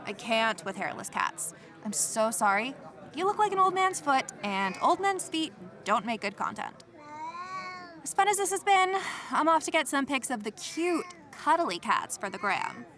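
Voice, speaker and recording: High, bright, brash young streamer. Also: female voice, sing-song, moderate pace. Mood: exasperated. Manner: dramatic, dismissive, slangy. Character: brash, vain, social-media-obsessed young woman streamer. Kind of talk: comedy audio drama acting.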